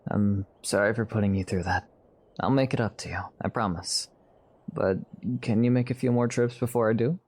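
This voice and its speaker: Deep-voiced, gentle young knight, acted by a man. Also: normal speed, lightly acted. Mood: affectionate. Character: young knight, gentle, earnest, quietly thoughtful. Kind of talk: audio drama dialogue.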